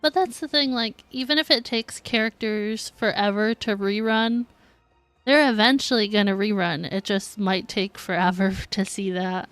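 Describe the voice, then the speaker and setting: Girl streamer just chatting, really clean smooth voice, dry mic, faint game music. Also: mid-range voice, normal speed, a bit bright, no echo. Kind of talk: casual chat with stream viewers.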